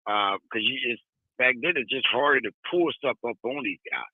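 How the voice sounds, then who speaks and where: A man speaks over a narrow, radio-like call line with no room sound. His voice is medium in pitch, and he gives his opinions casually, in emphatic, slangy, plain-spoken talk. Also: moderate pace, breathy edge, no room sound. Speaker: guest on a call-in talk show.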